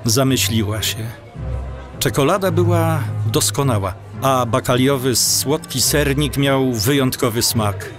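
A man's voice: mid-range voice, a little slow, over music. A man reading scripted story narration as the narrator of an audio drama.

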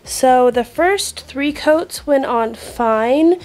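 A woman's voice: high voice, lively intonation, very slow, a little mellow. A young woman narrating a casual home vlog, chatting as she shows what she is working on around the house. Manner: casual, chatty, often drops to a late-night whisper. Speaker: home vlogger. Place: home, indoors, at night. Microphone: handheld phone camera.

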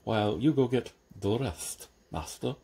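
A man voicing a calm, deferential, stitched-together manservant who answers dryly and patiently. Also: lowish voice, a little slow, a little hiss or hum. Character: unflappable, deferential stitched-together male servant. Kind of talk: audiobook character reading.

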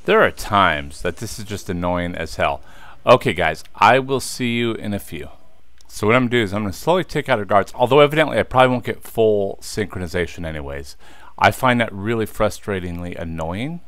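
A man's voice: on the low side, lively intonation, fairly quick, fairly bright tone, no room sound. Chatty man narrating his gameplay. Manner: chatty, talks to viewers, easily frustrated. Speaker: let's play host. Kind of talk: casual gameplay commentary.

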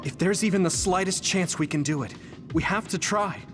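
A man's voice: high-pitched, normal speed, over music, natural voice. A man playing an earnest, idealistic young hero in an anime game dub, speaking sincerely. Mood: hopeful, resolute.

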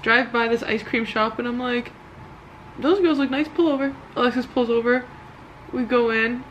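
A woman's voice: fairly high pitch, moderate pace. A young woman vlogging from inside a car in casual chat, telling stories animatedly and laughing often. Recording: dull muffled audio with loud road noise.

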